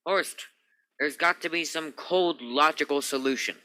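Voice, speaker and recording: A man's voice: a little high, unhurried, sharp tone, no echo. A man acting a stern, logical spaceship captain in a radio play, commanding and insistent. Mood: puzzled, urgent. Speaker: actor in a student radio play.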